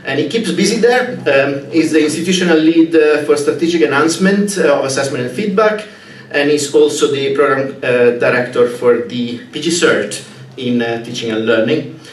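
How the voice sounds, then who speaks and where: Hesitant man host in an echoey hall. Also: on the high side. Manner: halting, many "uh" fillers. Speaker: conference host. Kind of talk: announcements and speaker introduction. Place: conference room. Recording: echoey room, distant mic, faint noise.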